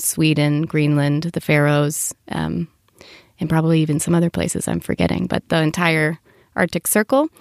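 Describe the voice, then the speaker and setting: Interviewer: young woman, conversational, fry-edged voice, recorded close and dry. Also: low-pitched, no room sound. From half American, half Danish background. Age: young adult.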